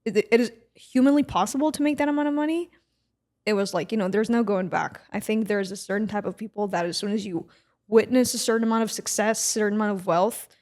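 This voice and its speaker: A woman in her twenties, from Israel, co-hosts a casual podcast chat. Her voice sits at a middle pitch, and she talks in a relaxed, chatty way full of fillers. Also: quick pace. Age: 20s. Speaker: podcast co-host.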